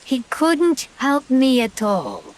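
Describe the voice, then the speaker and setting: A woman's voice: sits high, huge pitch swings, takes it slowly, a little mellow, full character voice. A British woman playing a haughty, power-hungry witch in a horror-comedy radio play, dramatic and sardonic, with a British accent. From Britain. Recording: hissy track with rain noise. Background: rain and hiss.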